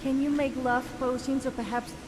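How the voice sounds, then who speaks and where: A playful young female prankster voiced by a woman speaks in a high, conspiratorial voice. She sounds like a mischievous fantasy game character, half buried under loud background ambience. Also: little pitch movement, moderate pace, noisy background, close to an everyday voice.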